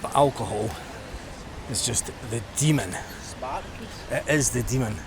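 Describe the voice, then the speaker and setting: Scottish guy, middle aged, mid pitched voice, chatty reflective walking vlog, traffic and street noise. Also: a little slow. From Scotland. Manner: reflective, informal, conversational. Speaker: vlogger. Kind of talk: casual rambling vlog chat while walking. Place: outdoors, walking along a busy seafront.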